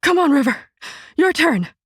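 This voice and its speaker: A woman voicing a determined mother who shouts and pants while hauling bags onto a crowded train. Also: sits high, animated, unhurried, no echo, studio quality. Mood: urgent shouting. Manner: shouting, panting.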